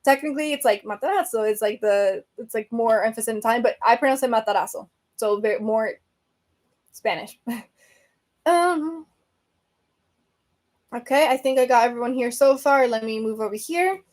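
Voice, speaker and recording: A woman's voice: a little high, moderate pace, long pauses, a little mellow. A woman from Venezuela hosting a book podcast, chatting casually on a live stream and answering viewer comments, rambling with many fillers and self-corrections.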